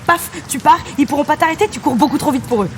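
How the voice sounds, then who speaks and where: Fast, high-voiced woman coach played by an actress. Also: a little hiss or hum, close to an everyday voice. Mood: urgent, encouraging. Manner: urging, reassuring. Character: brisk, encouraging woman athletics coach, loyal and pragmatic. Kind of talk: audio drama dialogue.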